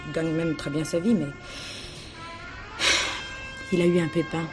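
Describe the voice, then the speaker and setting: Low, coaxing streetwise woman over music. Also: level tone, unhurried. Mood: pleading, coaxing. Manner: colloquial, coaxing. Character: wily, coaxing Parisian prostitute, woman. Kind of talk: French radio play acting.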